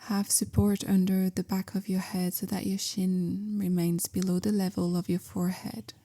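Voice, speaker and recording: Calm lady doing guided meditation, soft n soothing, pretty flat pitch, bit of vocal fry, whispery endings. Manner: gentle, calm, measured, softly spoken with breathy whispery endings. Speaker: meditation guide, yoga teacher.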